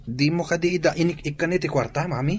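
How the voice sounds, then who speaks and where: A man's voice: a little high, quick pace, a little hiss or hum, natural voice. A man playing a frank, chiding male relative in an Ilocano radio drama, with an Ilocano Filipino accent.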